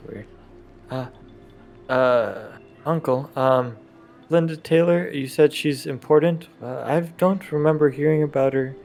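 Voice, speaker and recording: A man playing a tabletop role-playing game, talking casually in character in a halting way. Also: fairly high pitch, a little mellow, soft background music.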